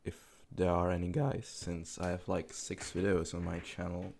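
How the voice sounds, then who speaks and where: Low gravelly young man. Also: little pitch movement, normal speed, darkish tone, slight background noise. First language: Czech. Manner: rambling, self-deprecating, swears casually, laughs often. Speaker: gaming commentary YouTuber. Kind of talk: unscripted rambling commentary over gameplay.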